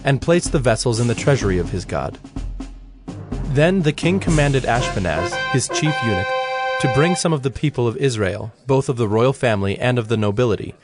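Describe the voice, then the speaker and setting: A man narrating a scripted, dramatized scripture reading in a measured, solemn manner. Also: medium pitch, lively intonation. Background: instrumental music.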